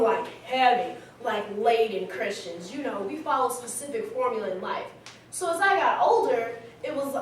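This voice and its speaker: A candid, smitten young woman, played by an actress shares her story in a chatty staged monologue. Her voice is high. It comes from some distance in a heavily echoing hall, with slight background noise. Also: natural voice. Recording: very echoey hall, distant mic, faint noise.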